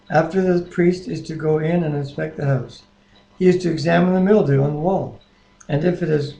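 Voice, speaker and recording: Male amateur scripture reader, creaky and fairly high for a man, unpolished plain delivery, roomy webcam sound. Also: warm tone. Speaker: Bible reader. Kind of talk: reading scripture aloud. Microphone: webcam microphone. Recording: echoey room webcam audio.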